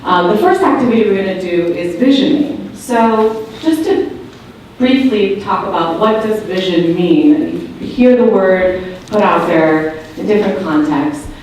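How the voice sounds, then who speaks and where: A woman with a loud voice, patiently explaining the steps of a public meeting activity. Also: medium pitch, unhurried, slight background noise. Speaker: meeting facilitator. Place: large meeting room. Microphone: far room recording. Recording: echoey room from far off.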